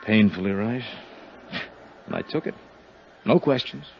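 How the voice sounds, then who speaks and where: A middle-aged American hotel operator in a vintage radio drama, rueful and wry. His lowish voice halts with embarrassment, through a dull recording with light hiss. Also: unhurried, gravelly. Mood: wry, indignant. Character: chagrined, gray-haired veteran male hotel operator, wry. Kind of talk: 1950s radio drama acting.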